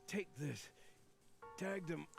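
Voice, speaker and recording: A man's voice: on the high side, animated, takes it slowly, gravelly, faint music behind, heavily acted. An actor playing an urgent man in an action horror video game. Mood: urgent, strained.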